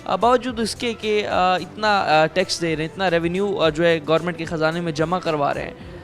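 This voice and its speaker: A man's voice: fairly high pitch, faint music behind. Earnest male news anchor. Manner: earnest, drawn-out delivery with many filler pauses. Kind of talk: formal news reporting and studio interview.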